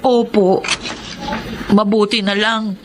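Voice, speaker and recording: A woman's voice: mid-range voice, little pitch movement, slow pace, background noise, natural voice. An actress playing a poor widowed mother in a Filipino radio drama, deferential, earnest and emotional. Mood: humble, subdued. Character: poor, humble widowed mother, devoted and pleading. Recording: music bed behind the voice.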